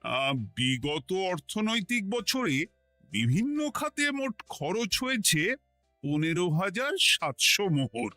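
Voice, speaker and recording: A man's voice: a little high, big pitch swings, unhurried, bright, forceful tone, soft background music, full character voice. A man voicing a busy, kindly but authoritative king in a cartoon, with theatrical, emphatic delivery. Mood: businesslike. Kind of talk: animated cartoon voice acting.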